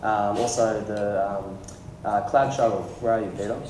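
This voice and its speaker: A man hosting a meetup, giving an informal, halting welcome speech to a room. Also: on the low side, steady tone, dark tone. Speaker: meetup host. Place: indoor meetup room with audience. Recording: echoey room, distant mic, faint noise.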